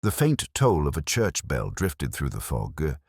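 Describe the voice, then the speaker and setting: A man reading a detective story as narrator, with a measured, literary, dramatic delivery. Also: rumbling low, huge pitch swings, a little mellow, breathy edge, dry recording, studio quality. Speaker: narrator and audiobook reader.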